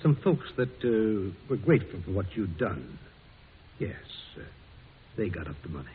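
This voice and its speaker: An actor playing a kindly, plain-spoken President and general in an old-time radio drama, speaking in a hesitant, folksy way. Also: male voice, low-pitched, big pitch swings, normal speed. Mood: apologetic, gentle. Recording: tinny, hissy old radio recording.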